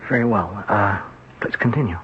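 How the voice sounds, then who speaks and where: A gentle bedside psychiatrist. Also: male voice, fairly low pitch, normal speed, breathy edge. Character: calm male psychiatrist at a patient's bedside. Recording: dull lo-fi vintage radio broadcast.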